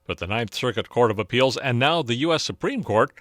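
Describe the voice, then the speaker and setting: A man's voice: mid-range voice, lively intonation, a little fast, a bit bright, no room sound, clean studio sound. A man with an American accent reading scripted news narration as a radio reporter.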